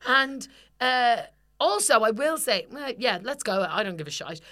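Swearing, ranting woman, bright voice. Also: on the high side, sing-song, unhurried. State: slightly husky voice. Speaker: podcast co-host. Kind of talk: casual comedic chat.

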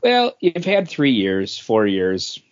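Male podcast co-host, casual and slow-paced, mid pitch, lo-fi recording. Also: wildly swooping pitch, slight background noise. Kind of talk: casual chat about entertainment news. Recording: dull, lo-fi podcast audio.

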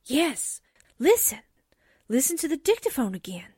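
A woman's voice: high-pitched, very animated, moderate pace, warm tone, no echo, studio quality. A woman playing a plucky, suspicious young newspaper secretary in a theatrical 1940s radio drama style. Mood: urgent.

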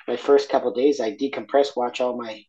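A man from Argentina speaking candidly as an interview guest, reflective and halting, with many fillers and restarts. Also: medium pitch, fairly even intonation, mellow, muffled recording, a little hiss or hum.

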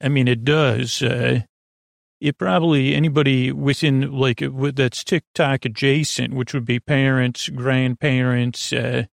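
A man hosting a bedtime podcast, rambling softly and unhurriedly, often trailing off and correcting himself. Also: medium pitch, level tone, creaky, dry recording, studio quality. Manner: meandering, halting, self-correcting; soft, unhurried and drowsy. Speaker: podcast host.

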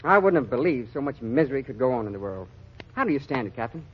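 Husky, lively, shocked reporter. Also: male voice, mid-range voice. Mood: appalled concern. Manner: earnest, disbelieving, halting. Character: shocked, compassionate visiting American reporter. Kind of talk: 1940s radio acting. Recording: dull old radio recording.

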